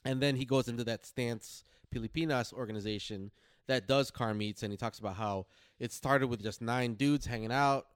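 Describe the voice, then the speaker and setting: A man co-hosting a podcast in casual, slangy talk, pausing and rephrasing as he explains his notes. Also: mid-range voice, smooth, no echo, studio quality. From Philippines or Filipino family. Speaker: podcast co-host. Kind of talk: casual chat, summarizing notes.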